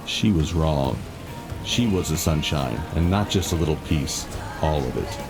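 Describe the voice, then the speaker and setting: A brooding grown man, haunted by his past. His voice is exceptionally low, narrating in a measured, dramatic first-person style. Loud music runs underneath. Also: lively intonation, vocal fry, heavily acted, low-quality recording. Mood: somber, haunted.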